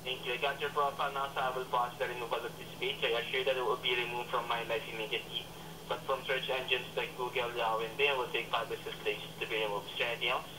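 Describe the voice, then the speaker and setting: A male call centre agent heard over a telephone with clear line noise. He talks quickly and delivers practised customer service phrases. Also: mid-range voice, little pitch movement. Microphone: heard over a phone call.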